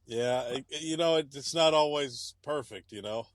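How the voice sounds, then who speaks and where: A man's voice: fairly high pitch, normal speed, a crowd in the background. A man co-hosting a podcast from his car, laid-back and hesitant, often trailing off. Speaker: podcast co-host and aspiring stand-up comic. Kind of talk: casual banter.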